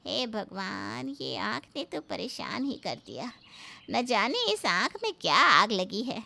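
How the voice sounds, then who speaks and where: A woman's voice: mid-range voice, big pitch swings, takes it slowly, gravelly, pushed and bright, heavily acted. A woman voicing an opinionated elderly village aunt in a cartoon story, grumbling and folksy. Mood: pained complaint.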